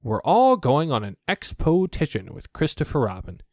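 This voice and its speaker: A man's voice: a little high, wildly swooping pitch, moderate pace, a little mellow, no room sound, clean studio sound, pitched above the performer's own voice. A man voicing a naive, good-natured toy bear in a storybook, speaking in an eager, wondering way. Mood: cheerful. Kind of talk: storybook character voice.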